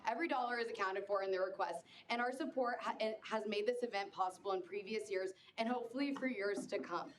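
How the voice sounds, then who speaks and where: A woman's voice: medium pitch, fast talker, a little hiss or hum. A young woman delivering a formal, rehearsed persuasive speech in favor of a funding bill. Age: young adult. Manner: formal, rehearsed, polished cadence. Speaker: student speaker for a funding bill. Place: student senate meeting room.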